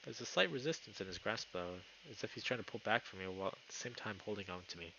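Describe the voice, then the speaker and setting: A man's voice: on the low side, animated, quick pace, gravelly, bright, forceful tone, highs cut off, a little hiss or hum. A young man live streaming, moving between casual chat and reading story narration aloud.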